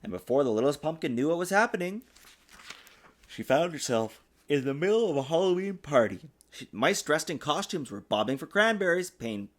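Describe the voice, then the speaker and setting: A man reading a children's storybook aloud in an expressive, sing-song way, as a father does for his young child. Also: fairly high pitch, normal speed. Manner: patiently answers child's interruptions. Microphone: desktop USB microphone.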